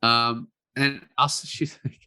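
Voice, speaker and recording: Man, very bright sharp voice, average pitch, casual film review chat, jokey co-host. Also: flat delivery, slow, clean tone. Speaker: podcast co-host.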